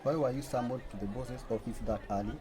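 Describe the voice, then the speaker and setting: A man's voice: mid-range voice, fast talker, natural voice. A man playing a friendly, curious colleague in a radio drama, chatty and inquisitive. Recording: clear crowd and outdoor urban noise.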